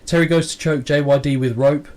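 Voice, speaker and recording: A man's voice: fairly high pitch, fairly even intonation, fairly quick, a little mellow. A man co-hosting a podcast, reading out match notes in a casual play-by-play recap with a dry, crude sense of humour. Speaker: podcast co-host.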